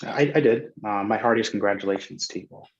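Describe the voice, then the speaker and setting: A man playing a bashful, courteous young gentleman suitor in a period drama reading, hesitant and polite. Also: on the low side, fast, natural voice. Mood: awkward. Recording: dull lo-fi call audio.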